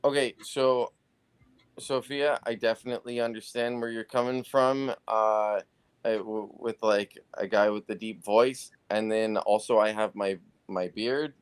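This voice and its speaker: A man chatting casually as a guest on a live talk show, laid-back and halting, with lots of fillers. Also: lowish voice, steady tone, clean tone. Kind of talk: casual chat.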